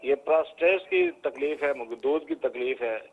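A man's voice: a little high, lively intonation, normal speed. A man in his sixties from Pakistan calling in, polite and deferential. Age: 60s. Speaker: caller. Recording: tinny phone line.

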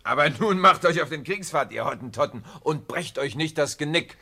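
An actor playing a kindly, teasing grown-up friend of schoolboys in a 1950s German radio play. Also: male voice, on the high side, expressive, normal speed, creaky, pushed and bright, husky, heavily acted. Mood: hearty.

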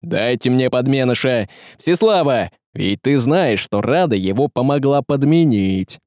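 A man's voice: mid-range voice, lively intonation, unhurried, darkish tone, no room sound, clean studio sound, close to an everyday voice. A man voicing a scheming, commanding sorcerer in a storybook, insistent and persuasive with archaic phrasing. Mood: angry, demanding.

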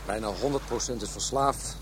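A man's voice: fairly low pitch, normal speed, background noise, close to an everyday voice. An actor playing a world-weary, knowing Dutch police detective in a radio drama, a man with a matter-of-fact, grim way of explaining things. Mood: grimly informative.

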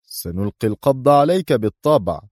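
A man's voice: mid-range voice, fast, darkish tone, dry recording, studio quality, voice pushed up, lightly acted. A man voicing a stern defence minister in an audiobook, clipped and commanding. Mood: sharp. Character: stern, sharp-tongued defence minister accusing a traitor.

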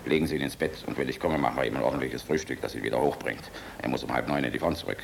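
An older man playing a gruff, fatherly, world-weary army general in a German radio play, blustery and philosophizing, shifting between barking and tenderness. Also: very deep voice, very fast, slight background noise, full character voice. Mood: calm, caring.

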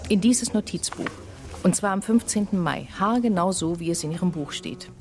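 An actress playing an intense, enigmatic painter in a radio drama, speaking earnestly and intimately. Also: female voice, lowish voice, moderate pace, a little mellow, faint music behind, close to an everyday voice. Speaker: lead character, the writer's wife.

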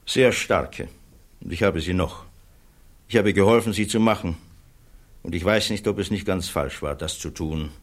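Low-voiced, earnest man a physicist witness. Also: normal speed, long pauses. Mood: grave, troubled. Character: thoughtful male physicist witness, earnest, troubled by conscience. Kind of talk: German radio play acting, hearing testimony.

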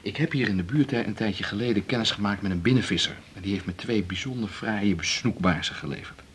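An actor with a Netherlands Dutch accent playing a playful, romantic cellist hosting a dinner date in a 1980s radio drama. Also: male voice, lowish voice, a little fast, a bit bright, natural voice. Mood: musing, playful. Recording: 1980s radio recording, clear background noise.

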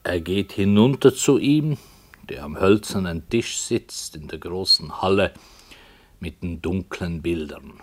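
A man playing a wry, thoughtful radio play author who speaks deliberately in scripted 1960s radio dialogue. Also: low voice. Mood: measured, explaining.

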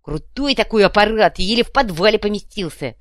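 A woman's voice: mid-range voice, expressive, brisk, no echo, studio quality, pitched above the performer's own voice, close to an everyday voice. A woman voicing a self-important, dim, one-eyed male gang boss with crude slangy speech in an audiobook. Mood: boastful. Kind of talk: audiobook character voice.

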